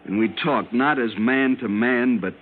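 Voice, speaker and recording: A man's voice: medium pitch, a little slow, close to an everyday voice. A man playing a frightened lieutenant, telling his strange story intensely in an old-time radio drama. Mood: awed. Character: frightened military lieutenant recounting a strange captivity, urgent and haunted. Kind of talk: old-time radio drama acting, first-person narration. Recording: noisy, narrow-band old radio recording.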